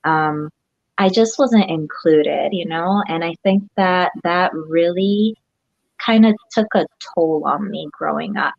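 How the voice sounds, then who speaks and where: Soft-spoken woman from Japan, dull dry sound. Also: lowish voice, takes it slowly, creaky, no echo. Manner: soft-spoken, reflective, hesitant fillers. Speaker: guest. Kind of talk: casual interview.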